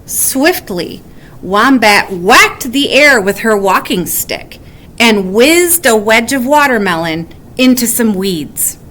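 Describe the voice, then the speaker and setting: A woman reading a children's bedtime story aloud in an animated way with dramatic emphasis. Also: fairly high pitch, slow. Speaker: story reader.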